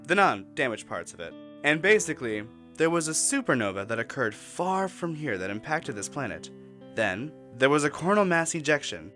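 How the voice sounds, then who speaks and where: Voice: medium pitch, sing-song, normal speed, a bit bright, faint music behind. An actor voicing a sly, manipulative alien explorer in a radio drama, theatrical and insistent. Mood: explanatory. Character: Cathan, scheming manipulative alien explorer with tentacles.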